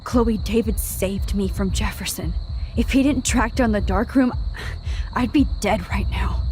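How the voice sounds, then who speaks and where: A woman's voice: mid-range voice, darkish tone, slight background noise, natural voice. A young American woman playing an anxious, earnest teenage girl in a dramatic video game, speaking in a hesitant, pleading way. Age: young adult. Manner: hesitant, halting, emotionally pleading.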